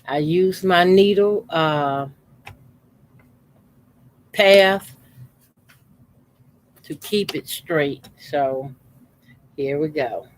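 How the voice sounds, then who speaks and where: A man in his fifties, a quilting channel host, calmly talking through a how-to demonstration at his sewing machine with his voice deliberately kept low. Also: slight background noise. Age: 50s. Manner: talks unhurriedly while sewing, deliberately keeping his voice lowered.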